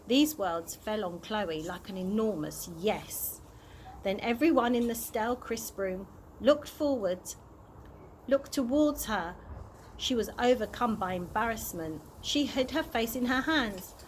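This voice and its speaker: A woman's voice: fairly high pitch, animated, measured pace, a little hiss or hum. A woman reading a children's story aloud expressively, occasionally stumbling over words and correcting herself. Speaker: early years practitioner reading aloud.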